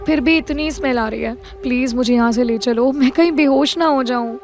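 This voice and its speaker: Stuck up pretty girl, very high voice, vain and snobby, audio drama. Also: normal speed, darkish tone. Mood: disdainful.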